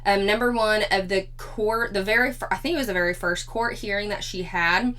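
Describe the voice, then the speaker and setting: A slightly hoarse woman, chatting casually as a commentary vlog host who laughs often. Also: medium pitch, brisk, sharp tone. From Four-State area of Missouri, Arkansas, Kansas, Oklahoma.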